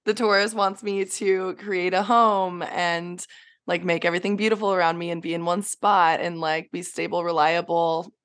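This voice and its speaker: A woman whose voice is raspy from allergies, chatting in a relaxed, rambling way on a podcast. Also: on the low side, moderate pace. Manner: relaxed, rambling, laughs mid-sentence. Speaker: podcast co-host and guest. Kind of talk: casual chat.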